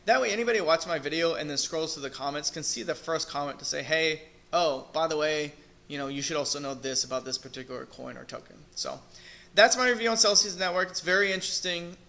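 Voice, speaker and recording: Male crypto vlogger, hoarse and fading, bright voice, hesitant, thinks out loud. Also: on the high side, quick pace. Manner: hesitant, thinking aloud with fillers and restarts. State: losing his voice, clearing throat. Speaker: crypto video reviewer. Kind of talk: casual screen-share review.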